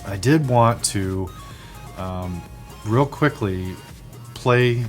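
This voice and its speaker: A man giving a casual, unscripted product review, speaking in a measured way with thoughtful, hesitant phrasing. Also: fairly low pitch, faint music behind. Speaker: product reviewer, video host.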